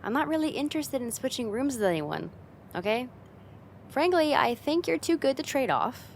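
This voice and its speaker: A woman's voice: high-pitched, very animated, moderate pace, creaky, slight background noise, heavily acted. A woman playing a friend's teasing older sister in a casual, conversational ASMR roleplay spoken straight to the listener. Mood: playful, casual.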